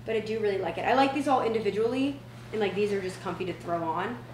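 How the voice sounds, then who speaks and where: A young woman in her twenties chats informally to a camera, with a mid-range voice. She sounds like she is vlogging in a roomy home kitchen, with echo around her words and clear background noise. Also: medium pitch, fast talker, a little mellow. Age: 20s. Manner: chatty, informal, slangy asides. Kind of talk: casual vlog chat, try-on haul. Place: indoors, open-plan home kitchen and living area. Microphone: camera mic a few feet away.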